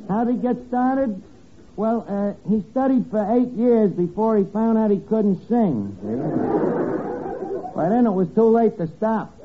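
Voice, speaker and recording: A man's voice: high-pitched, narrow, tinny audio. A man playing a cocky, uneducated tavern manager who wisecracks dryly and slangily in 1950s radio comedy style. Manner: slangy, dry wisecracking with hesitations. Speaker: comedy star. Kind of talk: 1950s radio sitcom acting. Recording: noisy old radio broadcast, studio audience. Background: live audience.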